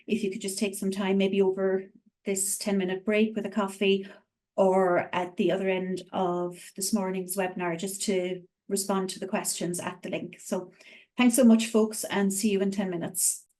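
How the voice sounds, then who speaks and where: A woman's voice: mid-range voice, level tone, normal speed, darkish tone. A woman moderating an online webinar, making announcements in an upbeat, chatty way over a video call.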